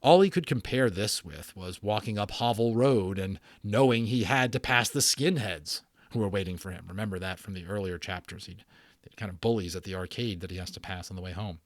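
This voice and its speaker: Low-voiced man reading a story dramatically. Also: brisk, no room sound, studio quality. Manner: expressive, emphatic, breaks off to explain to listeners. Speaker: audiobook narrator and channel host. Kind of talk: dramatic storytelling read-aloud with casual asides.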